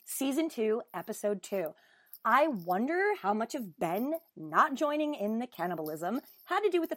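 A woman hosting a podcast, reading a listener's email aloud with deliberate emphasis. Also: a little high, dry recording, clean studio sound. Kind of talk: dramatic reading of a fan email.

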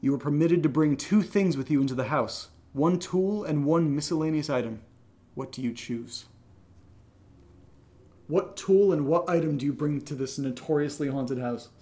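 A man's voice: fairly high pitch, moderate pace, warm tone. A man hosting a podcast in casual question-and-answer banter, with a teasing, playful manner. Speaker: podcast host.

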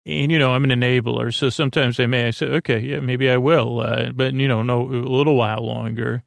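A man hosting a bedtime podcast in a casual, reassuring, rambling monologue, halting often and trailing off to restart his thoughts. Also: mid-range voice, little pitch movement, gravelly, no echo. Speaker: podcast host and bedtime storyteller.

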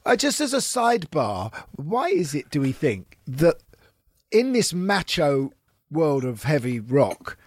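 A man co-hosting a podcast, with a fairly high voice that moves up and down a lot. He asks curious, inquiring follow-up questions. The sound is dry and close. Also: sing-song, unhurried, husky, no room sound. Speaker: podcast co-host. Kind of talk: casual chat.

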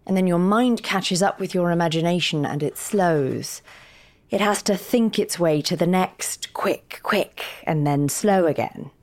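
An actress playing a frank, witty, encouraging partner of a writer, articulate and wry, in naturalistic audio fiction. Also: female voice, lowish voice, a little slow. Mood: encouraging, tender.

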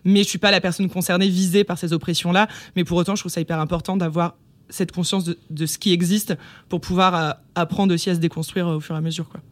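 A woman's voice: lowish voice, steady tone, fast. A woman around thirty talking casually as a guest in a podcast interview.

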